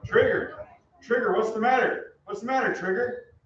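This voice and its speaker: An actor playing a nervous, irritable, self-doubting young American soldier in a staged old-time radio drama. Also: male voice, very high-pitched, normal speed, fairly bright tone. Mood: panicked. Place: theatre stage. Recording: very echoey, distant, dull stage recording.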